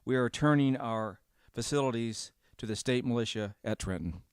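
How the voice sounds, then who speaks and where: An actor playing a radio network executive making a measured, official announcement in a radio drama. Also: male voice, medium pitch, clear, resonant tone, dry recording, clean studio sound, natural voice. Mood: solemn, official. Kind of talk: old-time radio formal announcement.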